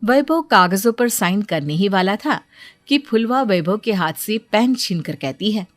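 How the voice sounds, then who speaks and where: Medium-pitched woman narrating a cartoon story. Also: lively intonation, measured pace, no room sound, soft background music.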